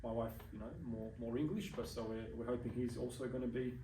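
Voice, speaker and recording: A man's voice: medium pitch, flat delivery, fast talker, warm tone, roomy sound, far from the mic, a little hiss or hum, poor audio. A young adult Australian man in an interview, speaking earnestly and measuredly, with frequent ums and restarts. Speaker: interview guest. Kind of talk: casual interview chat.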